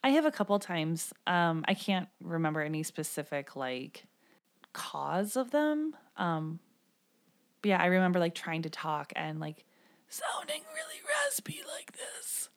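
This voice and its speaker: A woman's voice: fairly low pitch, huge pitch swings, measured pace. A woman co-hosting a podcast, chatting casually about a show with filler words and self-deprecating asides. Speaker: podcast co-host. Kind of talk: casual chat.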